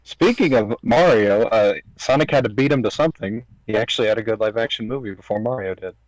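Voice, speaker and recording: A man's voice: fairly low pitch, fast, no room sound, poor audio. A man in casual chat, tossing in blunt, joking remarks on a close microphone.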